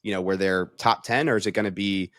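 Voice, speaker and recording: A man's voice: low voice, fairly even intonation, fast, a bit bright, dry recording, clean studio sound. A man talking through sports analysis in a conversational, casual way, thinking aloud as a panel analyst.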